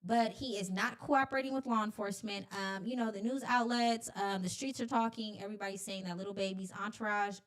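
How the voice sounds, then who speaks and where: An American woman speaks at a middling pitch in casual talk-show commentary. Her delivery is slangy and insistent, with "like" dropped in often as she makes her point. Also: fairly even intonation, brisk, few pauses. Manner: slangy, frequently says "like", insistent.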